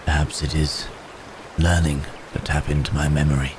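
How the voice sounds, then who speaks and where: Very deep, troubled wounded werewolf man, acted. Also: flat delivery, background noise. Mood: brooding, troubled. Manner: halting, formal old-fashioned phrasing, sighing. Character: gentle, troubled wounded man cursed as a werebeast. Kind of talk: ASMR roleplay acting.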